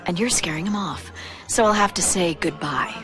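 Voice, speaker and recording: A woman plays a haughty young femme fatale in a game cutscene. Her low voice is cool and teasing, with music clearly behind it. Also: moderate pace, close to an everyday voice. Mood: teasing disdain. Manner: teasing, dismissive. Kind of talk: video game voice acting.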